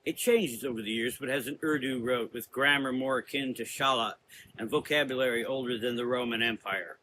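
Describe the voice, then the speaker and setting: A man's voice: mid-range voice, fairly quick, pushed and bright, a little hiss or hum, natural voice, low-quality recording. A man playing a brooding, formal traveler with a solemn, dryly ironic manner in a gothic audio drama. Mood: matter-of-fact.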